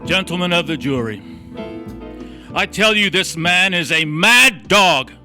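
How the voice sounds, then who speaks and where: An actor playing a righteous, fiery courtroom prosecutor, declamatory and emphatic, in an old-fashioned melodramatic style. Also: male voice, sits high, expressive, measured pace, bright, forceful tone, faint music behind, heavily acted. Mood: outraged.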